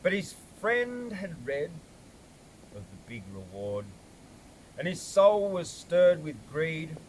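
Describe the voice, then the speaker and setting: A man reciting a bush ballad in a theatrical, measured way with emphatic phrasing. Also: very animated. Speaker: poetry reader. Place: outdoors at a cave site. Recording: very noisy, clicks, vehicle-like rumble.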